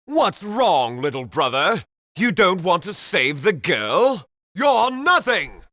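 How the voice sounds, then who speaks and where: A man's voice: sits high, very animated, pushed and bright, no room sound, clean studio sound, heavily acted. An actor playing a sneering male video game villain who mocks and goads his opponent. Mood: sneering, goading. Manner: mocking, drawn-out goading. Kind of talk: dramatic video game voice acting.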